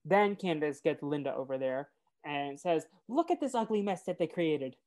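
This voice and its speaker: A woman's voice: low voice, expressive, moderate pace, a little mellow. A woman with a nasal voice co-hosting a podcast, recapping casually and chattily, slipping into quoted lines as she tells it. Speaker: podcast co-host. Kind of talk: casual episode recap and chat.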